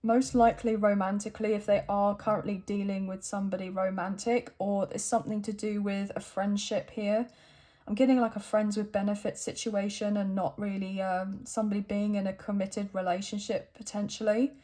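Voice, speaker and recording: Croaky-throated woman reading tarot. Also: medium pitch, little pitch movement, brisk, mellow. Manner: chatty, thinks aloud while drawing cards. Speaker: tarot reader. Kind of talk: casual tarot reading to camera.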